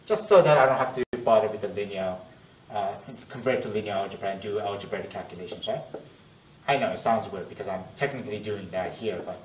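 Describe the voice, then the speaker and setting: A man's voice: medium pitch, a little mellow. A young man working through a math problem at a whiteboard in a casual lesson, thinking aloud and correcting himself, his voice strained as if he is losing it. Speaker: math tutor. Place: classroom at a whiteboard. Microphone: camera-mounted mic at a distance. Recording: echoey room, distant camera mic, faint noise.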